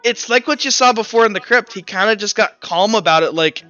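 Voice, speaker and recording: Brief: young man running a tabletop game, rambling, smooth bright voice. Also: high-pitched, fairly quick, no room sound. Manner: rambling, lots of "like" and "kinda". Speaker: game master. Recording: dry muffled mic with faint music.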